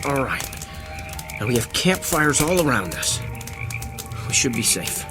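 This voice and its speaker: A man playing an excitable, skeptical camper in an audio drama, reacting with stammers. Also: mid-range voice, measured pace, over loud music. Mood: wary, practical. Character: excitable, skeptical man camping and filming a discovery.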